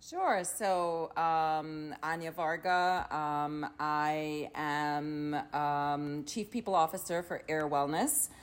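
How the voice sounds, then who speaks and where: A woman in her forties from Trinidad, chatting as a podcast guest, thoughtful with many pauses. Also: low-pitched. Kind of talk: casual interview chat.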